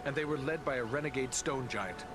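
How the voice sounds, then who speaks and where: A courteous northern ranger acted by a man in a fantasy game. The voice sits at a medium pitch, earnest and formal, with music clearly behind it. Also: a little fast, natural voice. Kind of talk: fantasy game cutscene acting.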